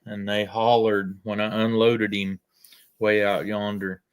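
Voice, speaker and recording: An older man, telling farm stories in a folksy, unhurried way with frequent pauses. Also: fairly low pitch, level tone, clean tone. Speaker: storyteller guest. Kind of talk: casual storytelling.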